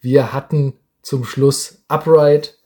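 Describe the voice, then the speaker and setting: A man's voice: mid-range voice, steady tone, slow, dark tone. A man hosting a podcast in a casual, chatty style. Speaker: podcast host.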